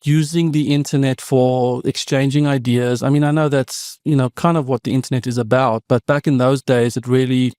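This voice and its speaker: A South African man in his fifties, with Afrikaans as his first language, telling his story as a podcast guest. He talks in a reflective, meandering way, full of asides, at a middling pitch. The sound is dry and close. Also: fairly even intonation, normal speed, few pauses, a little mellow, clear, resonant tone, no echo. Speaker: podcast guest, tech founder. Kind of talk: conversational interview storytelling.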